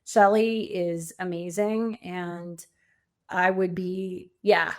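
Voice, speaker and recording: A woman's voice: fairly low pitch, flat delivery, slow. Woman in her fifties, encouraging and emphatic. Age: 50s. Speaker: podcast guest, coach and speaker. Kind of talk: conversational interview answers.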